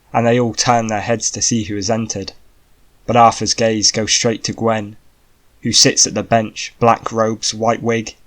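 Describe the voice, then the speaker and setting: A man narrating a scripted fantasy audiobook in a dramatic storytelling style. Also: on the low side.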